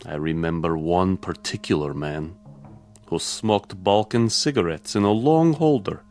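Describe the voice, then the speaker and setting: An actor playing a wary, bitter Soviet major and former prisoner in a radio drama, terse and guarded. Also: male voice, low voice, measured pace, a little mellow, faint music behind. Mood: defiant.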